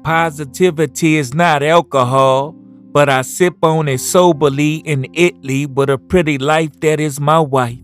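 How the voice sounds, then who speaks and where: A middle-aged man from New Orleans, a poet reading a measured self-introduction and a poem. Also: a little high, fairly even intonation. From New Orleans, USA. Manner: measured, spells words out. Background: soft guitar music.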